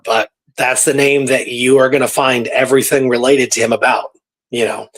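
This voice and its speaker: A man hosting a radio show, telling a historical case in a casual, animated, conversational way. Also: mid-range voice, poor audio.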